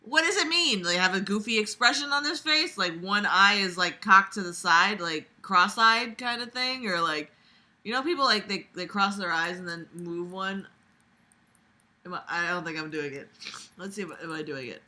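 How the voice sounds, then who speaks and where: A woman's voice: medium pitch, moderate pace, sharp tone. A young woman reading aloud and commenting casually in a sarcastic, exasperated, blunt way, recorded in a room at home. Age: young adult. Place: small room at home, lying on a bed.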